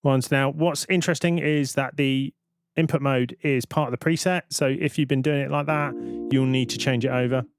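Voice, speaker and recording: A man giving an informal, step-by-step software demonstration as a tutorial presenter. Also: mid-range voice, steady tone, moderate pace, clean tone, soft background music.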